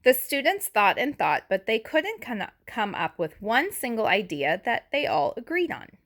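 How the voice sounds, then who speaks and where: A woman teacher reading a picture book aloud to young children, deliberately and expressively, with explanatory asides and questions. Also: fairly high pitch, big pitch swings, fairly bright tone. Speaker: elementary school teacher reading to her class.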